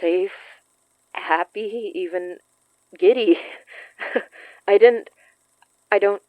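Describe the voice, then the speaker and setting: A woman acting in a fiction podcast as a shaken, earnest young woman who confides shyly in halting phrases. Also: medium pitch, dry recording, narrow, tinny audio. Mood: shy, happy. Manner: hesitant, halting, confessional.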